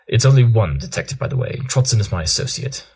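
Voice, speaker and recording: An actor playing an aloof, tactless pony detective with a clipped, analytical manner in an audio drama. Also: male voice, fairly low pitch, animated, fast, darkish tone, slightly rough. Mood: dry, awkward.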